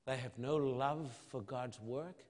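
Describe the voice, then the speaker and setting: A man preaching an evangelistic sermon in a solemn, emphatic manner, with dramatic pauses and rhetorical questions. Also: medium pitch, darkish tone. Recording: long hall reverb.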